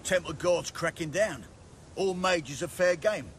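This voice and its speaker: An actor playing a shifty, uneasy street looter and informant in a fantasy video game. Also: male voice, fairly high pitch, unhurried, a bit bright, a little hiss or hum. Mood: wary, informative.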